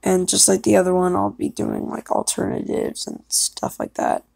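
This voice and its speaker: A woman's voice: lowish voice, wildly swooping pitch, moderate pace, gravelly, darkish tone, no echo, studio quality. A young woman chatting casually and self-consciously, hesitant and apologetic as she records herself. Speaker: amateur voice actor recording an audition.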